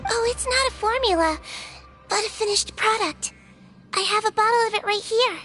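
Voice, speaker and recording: A gentle high-pitched little nurse. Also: female voice, moderate pace, faint music behind. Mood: calm. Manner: gentle and composed, clinical. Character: small kindly nonhuman head nurse, calm and clever. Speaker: head nurse. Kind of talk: video game voice acting.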